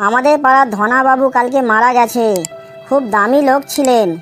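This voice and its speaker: A woman's voice: high voice, steady tone, a little fast, fairly bright tone, soft background music. A woman voicing a cheeky, silly young schoolboy in a comedy cartoon. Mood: cheeky earnest. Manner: cheeky, earnest silly answers. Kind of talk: comedy cartoon dialogue in Bengali.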